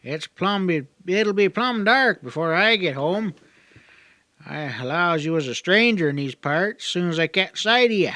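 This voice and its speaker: A man's voice: sits high, lively intonation, unhurried, sharp tone, no room sound, voice pushed up, heavily acted. A man voicing a talkative, naive young backwoods native in an audiobook, with a rural Southern accent and a folksy, rambling drawl. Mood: chatty, curious. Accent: rural Southern hillbilly. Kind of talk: audiobook character dialogue.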